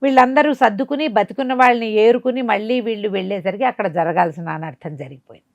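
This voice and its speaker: A woman's voice: mid-range voice, quick pace, gravelly, no echo, highs cut off. Woman storyteller, dry close sound. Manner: conversational, dramatic, explains with asides and rhetorical questions. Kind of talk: animated oral storytelling of a folk moral tale.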